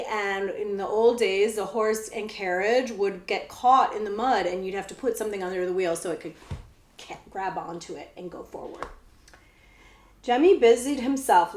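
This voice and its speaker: A woman librarian reading a children's story aloud, pausing for casual explanations. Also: medium pitch. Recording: echoey room sound.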